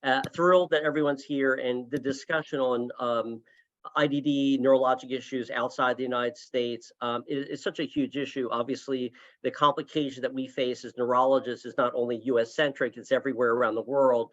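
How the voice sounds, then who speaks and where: A man's voice: mid-range voice, steady tone, brisk. A man opens a webinar over a video call, sounding dull and lo-fi. He is enthusiastic but rambles, often restarting his sentences. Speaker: past section chair opening a webinar. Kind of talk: webinar introduction.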